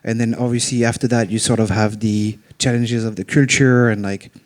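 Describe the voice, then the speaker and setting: A man from France with a thick French accent, speaking as a panelist at a live event. He is self-deprecating and speaks slowly on purpose. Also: fairly low pitch, fairly even intonation. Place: members' club event room in front of an audience.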